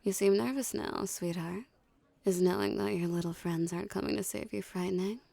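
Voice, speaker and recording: Woman playing female mafia boss, mellow dark tone, gravelly fry, teasing, dominant, unhurried, ASMR roleplay. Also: mid-range voice, steady tone, no room sound. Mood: teasing, reassuring. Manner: smooth menacing flirtation, condescending, unhurried. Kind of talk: ASMR roleplay, scripted character acting.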